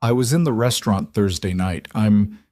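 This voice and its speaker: A man's voice: lowish voice, normal speed, mellow, no echo, studio quality, natural voice. Man voicing a determined, anxious young woman. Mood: urgent, tense.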